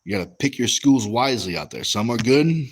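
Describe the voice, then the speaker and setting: A man's voice: mid-range voice, expressive, a little fast, breathy edge. A young American man hosting a casual livestream, reading chat and rambling through stories with lots of fillers and restarts. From West Coast of the US.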